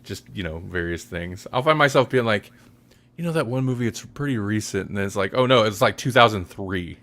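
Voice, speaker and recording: Man, low voice, casual podcast host, rambling, self-correcting. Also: lively intonation, brisk, a bit bright. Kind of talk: casual chat.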